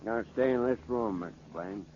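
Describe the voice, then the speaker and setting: A man's voice: fairly low pitch, normal speed, dark tone, close to an everyday voice. An actor playing a brusque policeman who curtly gives orders, in 1940s radio style. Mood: bossy. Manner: curt, barking orders. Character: bossy, brusque policeman taking charge. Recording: muffled, noisy 1940s radio recording.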